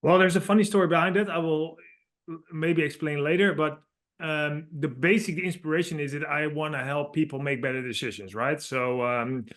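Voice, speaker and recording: A man's voice: a little high, fairly even intonation, moderate pace, fairly bright tone. Dutch-accented man explaining earnestly. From Netherlands. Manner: earnest, explanatory, slightly non-native phrasing. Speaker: author and guest. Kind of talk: podcast interview.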